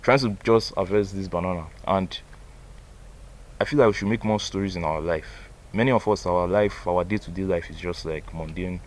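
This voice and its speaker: Low-voiced young man rambling. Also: normal speed, slight background noise. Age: 20s. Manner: rambling, loose, full of "like" and "bro". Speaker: vlogger. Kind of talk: casual motivational monologue. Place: outdoors by a banana tree.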